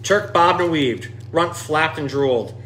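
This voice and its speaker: A man reads a children's storybook aloud with expressive, dramatic delivery. His voice sits a little higher than most men's. Some noise is audible behind him. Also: measured pace. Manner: expressive, dramatic dialogue readings. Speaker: storyteller reading a picture book aloud. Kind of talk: animated children's storybook reading.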